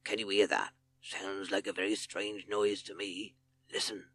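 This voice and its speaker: Aged mole, played by a man: low, slow, rustic and wary, for a children's tale. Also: little pitch movement, bright, forceful tone, full character voice. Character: aged male mole, slow and fearful old creature.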